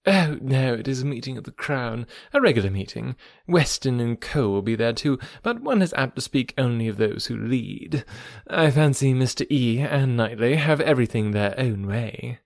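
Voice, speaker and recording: A man's voice: mid-range voice, animated, moderate pace, creaky, dry recording. A smug, boastful young wife from a classic novel, played by a male narrator. She talks with showy emphasis and patronising airs, in an affected voice with a close, dry sound. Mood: smug, vivacious. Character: self-important, affected, boastful young married lady. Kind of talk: audiobook character voice, classic novel.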